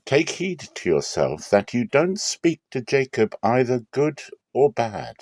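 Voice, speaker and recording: A man voicing God giving a solemn warning in a dream within a dramatic scripture reading, slow and measured. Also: mid-range voice, sing-song, darkish tone, dry recording, clean studio sound, pitched above the performer's own voice.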